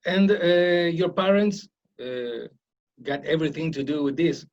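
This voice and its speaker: A man hosting a radio interview in careful, hesitant English full of pauses and "uh"s. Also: fairly high pitch, expressive, darkish tone, highs cut off. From Argentina. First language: Spanish. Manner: hesitant, halting English with many "uh"s. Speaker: radio host.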